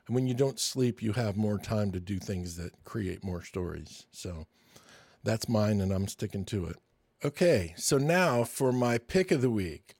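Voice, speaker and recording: Chatty sixty-year-old podcast host, dry sound. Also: male voice, lowish voice, a little mellow, dry recording. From Michigan, US. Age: 60s. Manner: chatty, storytelling, enthusiastic. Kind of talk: casual podcast monologue and interview.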